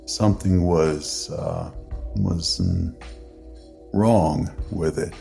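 A man playing a drunk, grief-stricken uncle and secretive former exorcist in a horror story, speaking in a halting mutter with a gravelly voice. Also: big pitch swings, warm tone, soft background music, full character voice. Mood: haunted, sullen. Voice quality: gravelly, creaky low voice. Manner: slow, halting, muttering delivery. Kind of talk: dramatic horror story acting.

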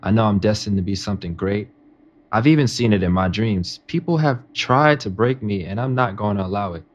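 An actor playing a confident, ambitious nineteen-year-old estate aide in a modern audio drama, speaking respectfully and earnestly. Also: male voice, fairly low pitch, a little fast, lo-fi sound, close to an everyday voice. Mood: earnest, determined. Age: young adult. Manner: respectful, earnest, self-assured.

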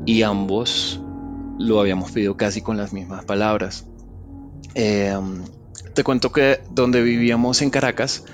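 A man tells a personal story in a soft, reflective, halting way. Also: lowish voice. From Venezuela.

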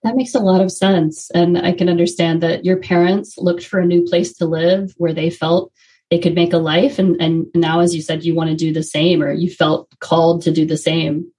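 A woman's voice: on the low side, little pitch movement, moderate pace, mellow, breathy edge. A woman hosting a podcast, asking long, encouraging questions of her guest. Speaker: podcast host. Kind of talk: interview questions.

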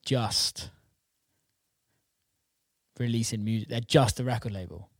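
A man's voice: mid-range voice, measured pace, no room sound, clean studio sound. A man interviewing someone in a casual chat, enthusiastic, informal and sweary. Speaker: interviewer, DJ and label owner.

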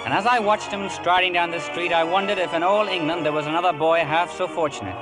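Actor as earnest, higher-voiced storyteller over loud music. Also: male voice, steady tone, quick pace, lightly acted. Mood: wistful. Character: earnest male narrator recalling his boyhood sea adventure. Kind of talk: 1950s radio drama narration. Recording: vintage radio, loud music underneath.

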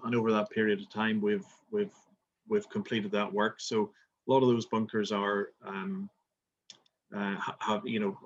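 A man's voice: fairly low pitch, dull audio. A middle-aged man from Belfast with a Belfast accent, a golf resort manager answering interview questions with many fillers and restarts. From Northern Ireland.

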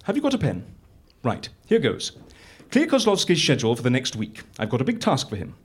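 An eccentric British boss rattles off instructions with a very animated, swooping voice. He is brisk, fussy and whimsical, in the manner of live audio comedy. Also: mid-range voice, breathy edge. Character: eccentric, busy male boss dictating instructions.

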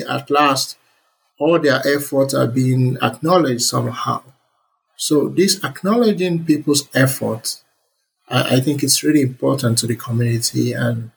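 A man's voice: mid-range voice, gravelly, slight background noise, poor audio. Enthusiastic man. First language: Yoruba. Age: young adult. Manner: earnest and enthusiastic, many fillers. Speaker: interview guest, computer scientist. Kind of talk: relaxed conversational interview answers.

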